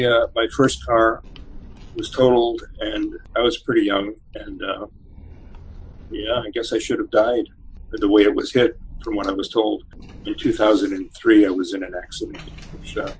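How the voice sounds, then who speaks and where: A man in his seventies, a poet, reading a poem slowly and then answering interview questions with many pauses and fillers. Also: lowish voice, flat delivery, slightly rough, poor audio. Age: 70s. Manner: slow measured reading, hesitant with fillers when chatting. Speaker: poet and novelist guest.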